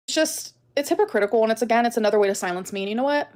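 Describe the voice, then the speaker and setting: A woman's voice: on the high side, lively intonation, very fast, a little mellow. Defensive woman in a casual monologue. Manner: emphatic, repetitive, defensive. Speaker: online video creator.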